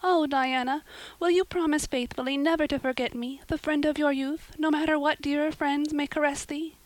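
A romantic young girl from a storybook, acted by a woman narrator, pitched high and full of drama. She speaks with great earnestness in flowery, old-fashioned turns of phrase, in a close, dry recording. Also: normal speed, dry recording, lightly acted, low-quality recording. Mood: tearful, solemn. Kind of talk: audiobook character voice.

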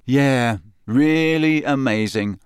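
A man's voice: mid-range voice, huge pitch swings, normal speed, no room sound, clean studio sound. A man voicing a glum teenage boy with a heavy, dull, sarcastic delivery in an audiobook story. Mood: heavy, weary.

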